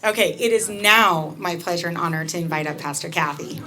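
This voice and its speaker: A woman rabbi briskly making announcements and directing people before introducing a guest speaker. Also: fairly low pitch, bright, forceful tone, faint music behind, poor audio. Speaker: rabbi hosting the service. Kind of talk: announcements to a congregation. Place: synagogue sanctuary. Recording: congregation murmuring behind.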